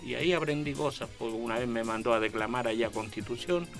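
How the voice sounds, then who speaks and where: An older Chilean man from a small coastal town, talking about his amateur theatre work in a halting, rambling way, with many restarts. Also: medium pitch, music in the background. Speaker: guest, local amateur actor. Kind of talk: casual interview chat.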